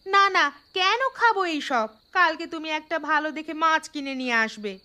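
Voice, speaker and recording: A woman voicing a willful young newlywed wife in a cartoon, with an insistent, expressive manner. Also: high voice, normal speed. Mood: annoyed. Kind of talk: Bengali cartoon voice acting.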